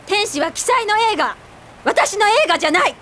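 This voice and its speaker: High-voiced, sharp-tongued grown daughter. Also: female voice, expressive, moderate pace, a little hiss or hum. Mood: angry, defiant. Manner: sarcastic, combative, blunt. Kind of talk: Japanese radio drama acting.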